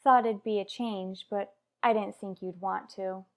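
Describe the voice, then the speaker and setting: A woman acts an unhappy young married woman in a radio drama. Her voice sits at a middle pitch, and she speaks with a worried, defensive edge toward her family. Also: fairly even intonation, measured pace, natural voice. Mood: hurt, defensive. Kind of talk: radio soap drama acting.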